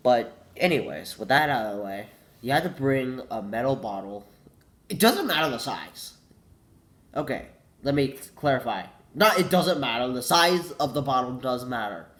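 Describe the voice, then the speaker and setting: A man's voice: mid-range voice, animated, normal speed, a bit bright. A teenage boy with a cold, congested and coughing, rambling casually and correcting himself, saying "like" a lot. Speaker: vlogger.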